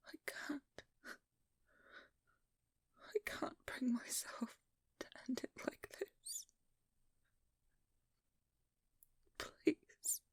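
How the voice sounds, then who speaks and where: A woman playing a determined, exasperated vampire hunter with a guarded caring side, speaking softly and often in whispers in an intimate ASMR audio roleplay. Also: fairly high pitch, no echo, exaggerated voice. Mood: anguished, pleading. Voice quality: soft, breathy, often whispered.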